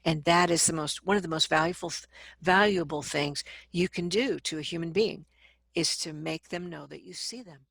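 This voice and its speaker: A woman speaking as a vocal coach in an interview, explaining advice conversationally and acting out examples in an animated manner. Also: fairly low pitch, moderate pace, gravelly, slightly rough, no echo, clean studio sound.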